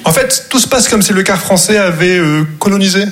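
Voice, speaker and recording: A man's voice: high voice, animated, brisk. Posh-sounding Moroccan man doing stand-up. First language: Arabic. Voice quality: muffled, throaty resonance with odd articulation. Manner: posh, old-fashioned diction, self-deprecating. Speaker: stand-up comedian. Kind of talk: conversational stand-up comedy monologue. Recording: live comedy stage, loud audience laughter. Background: laughing crowd.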